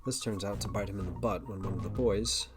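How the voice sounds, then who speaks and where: A man's voice: fairly low pitch, quick pace, lightly acted. A man narrating a superhero audio drama in a scripted storytelling style. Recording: music under the voice. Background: prominent guitar music.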